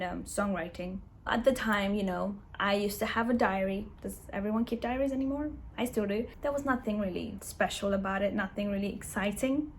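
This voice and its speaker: Young woman w/ a chatting to camera, bright clear tone, upbeat and jokey about herself. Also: mid-range voice, slight background noise. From Ecuador, raised in London. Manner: chatty, upbeat and self-mocking. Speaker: songwriter and vlogger. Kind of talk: casual personal storytelling to camera.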